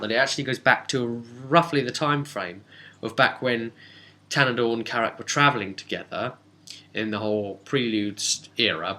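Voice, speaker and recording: A man's voice: lowish voice, bright, forceful tone. A man, chatty and rambling, casually retelling a tabletop role-playing session as its game master and slipping into dialogue. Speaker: game master and vidcast host.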